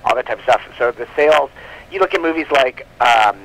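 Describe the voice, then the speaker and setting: A man's voice: mid-range voice, animated, a little fast, fairly bright tone, poor audio. A man chatting casually as an interview guest, explaining his business in an informal, rambling way, often rephrasing mid-sentence. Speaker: guest, comic book publisher.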